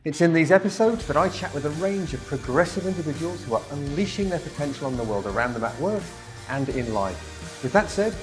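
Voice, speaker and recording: A man's voice: fairly high pitch, expressive, a little fast, over music. A man hosting a podcast interview, upbeat and welcoming as he asks questions. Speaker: podcast host.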